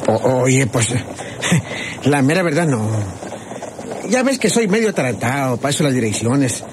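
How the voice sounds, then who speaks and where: A man playing a slow-witted, touchy rural bandit with a Mexican accent and a stammering, excitable delivery in a radio drama. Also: mid-range voice. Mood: sheepish, amused. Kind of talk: radionovela acting. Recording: noisy old radio serial recording.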